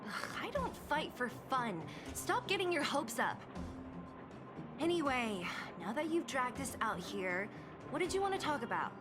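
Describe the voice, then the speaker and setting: Tough young game heroine, aloof, sardonic, fairly high voice, lively inflection, exasperated. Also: female voice, measured pace. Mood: annoyed. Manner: dry, sardonic, curt. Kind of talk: video game voice acting. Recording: loud music under the voice.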